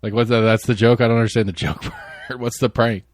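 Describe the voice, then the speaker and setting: A man's voice: medium pitch, steady tone, brisk. A man co-hosting a podcast in casual chat, laid-back and joking, swearing freely. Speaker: podcast co-host.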